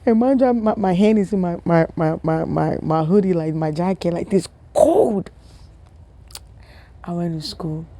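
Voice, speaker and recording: A young woman from Ghana telling a funny personal story to her viewers in a casual, animated way, often repeating herself and laughing. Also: on the low side, a little slow, dry recording. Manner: animated, repetitive, laughing, talks to viewers as family. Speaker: vlogger.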